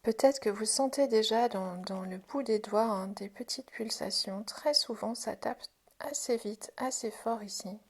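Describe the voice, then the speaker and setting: A woman leading a guided self-help practice as an instructor, speaking informally in a gentle, reassuring way, with a breathy voice that often drops toward a whisper. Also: a little high, measured pace. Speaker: Jin Shin Jyutsu self-help instructor.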